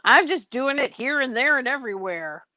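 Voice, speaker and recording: A tired American woman chatting in a relaxed, easygoing way. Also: high-pitched, normal speed, no room sound. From United States. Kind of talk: casual chat.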